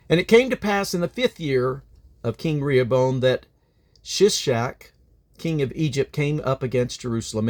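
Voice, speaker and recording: A man reading the Bible aloud as a reader, phrasing deliberately and now and then stumbling over names. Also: mid-range voice, gravelly.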